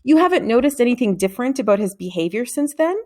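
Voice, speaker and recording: A woman reading a storybook, voicing a courteous, persistent female schoolteacher who gently probes. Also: fairly high pitch, lively intonation, quick pace, voice pushed up, natural voice. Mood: earnest, probing.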